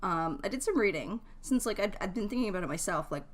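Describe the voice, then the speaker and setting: A woman's voice: medium pitch, expressive, fast talker. A woman streamer chatting casually to her viewers from home in an informal, giggly, chatty way. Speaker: game streamer. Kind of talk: casual livestream chat with viewers. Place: at home, in a shared space.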